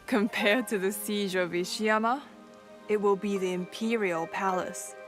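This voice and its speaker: A self-assured noblewoman warrior, played by a woman in a video game, mid-pitched and proud. She speaks like a wry mentor, with clear music behind her. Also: measured pace, natural voice. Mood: grateful, wry. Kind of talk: dramatic video game dialogue.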